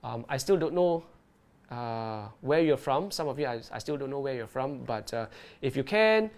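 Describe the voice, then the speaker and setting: A man presenting an underwater video tutorial and Q&A live. He talks in a lively way and keeps checking in with his viewers. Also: medium pitch, normal speed. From Malaysia.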